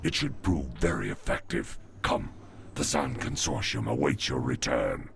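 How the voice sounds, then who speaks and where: An actor playing a grave, loyal right-hand man in a video game, gravelly, with formal, businesslike speech. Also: very deep voice, very animated, measured pace, exaggerated voice. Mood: urgent, dutiful. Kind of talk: dramatic video game acting.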